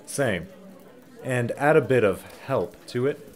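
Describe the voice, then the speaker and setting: A man playing a chatty, easygoing small-town local with a folksy, expressive manner in an audio drama. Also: mid-range voice, takes it slowly. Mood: genial, chatty.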